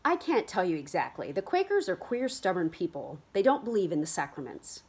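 A woman reading the lines of a serious, cautious middle-aged Puritan aunt who gently admonishes her niece. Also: lowish voice, big pitch swings, a little fast, breathy edge.

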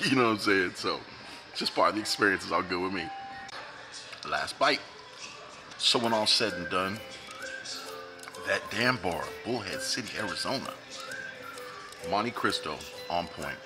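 Deep-voiced man reviewing food. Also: lively intonation, unhurried, long pauses, over music. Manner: enthusiastic, joking, talks while eating. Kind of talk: casual food review vlog. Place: restaurant dining room, indoors.

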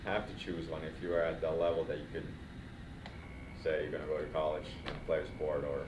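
A man from Finland whose first language is Swedish, speaking with an accent as a guest in a casual podcast interview, with measured, thoughtful explanations. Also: rumbling low, flat delivery. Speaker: guest cycling coach. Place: training studio, seated in recovery chairs. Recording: roomy, distant mic, noisy.

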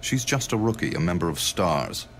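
An actor playing a cold, calculating villain commander in a horror video game, clipped and detached. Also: male voice, low voice, very animated, moderate pace, fairly bright tone, faint music behind, heavily acted. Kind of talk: video game cutscene acting.

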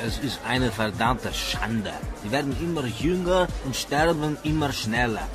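A man's voice: medium pitch, expressive, measured pace, lightly acted. A man playing a gloomy, hard-drinking Russian cosmonaut in a German audio drama. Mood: bitter, drinking. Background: clear background music.

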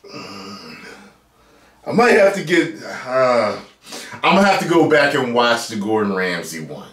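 An American man in his thirties giving casual, playful reaction commentary as a video host, loud and repeating his phrases. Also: medium pitch, very animated, measured pace. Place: small room at home, indoors. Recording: roomy, echoey home recording.

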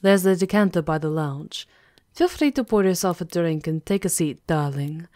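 A woman's voice: lowish voice, animated, normal speed, a little mellow, no room sound, studio quality, natural voice. A woman playing a commanding aristocratic vampire countess in an ASMR roleplay, with a theatrical, teasing menace. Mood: composed, tender.

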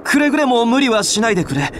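A man's voice: extremely high pitch, very animated, quick pace, fairly bright tone, a little hiss or hum, full character voice. A man voicing an earnest, caring young alchemist in a Japanese video game, friendly and patient when explaining. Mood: worried.

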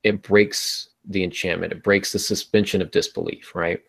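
Middle-aged man, low pitch, podcast host, conversational, explanatory. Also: level tone, normal speed, vocal fry, fairly bright tone. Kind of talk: conversational writing-advice panel talk. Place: small room at home, indoors. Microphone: earbud headset on video call.

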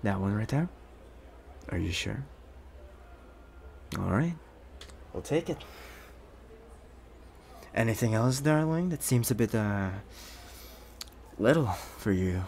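A man playing a rich, possessive, doting boyfriend in a soft-spoken, coaxing ASMR roleplay. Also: fairly low pitch, lively intonation, creaky, a little hiss or hum, heavily acted. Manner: soft-spoken, unhurried, coaxing with pet names. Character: rich possessive young boyfriend, smooth, doting, quietly menacing.

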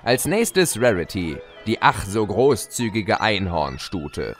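A man's voice: on the low side, very animated, slow pace, soft background music, heavily acted. A man playing a sly, gloating spirit of chaos in a German audio drama, mocking and theatrical. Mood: smug narrating.

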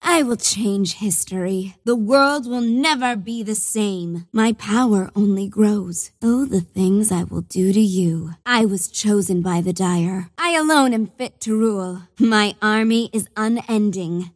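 An actress playing a bloodthirsty young female warlord of a dark cult in a video game, with imperious, menacing, theatrical delivery. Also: medium pitch, measured pace, few pauses, no echo, studio quality, natural voice. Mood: commanding, vengeful.

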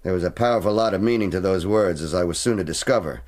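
A man's voice: low voice, fast talker, a little mellow, lightly acted. An actor playing a brash, impatient American man from Kansas with a folksy, blustery way of talking, in a radio drama. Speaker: visitor from Kansas.

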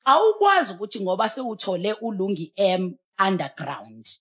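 A woman's voice: medium pitch, wildly swooping pitch, brisk. A South African woman speaking as a pastor on a studio talk show, emphatic and exhorting, mixing in English. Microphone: headset.

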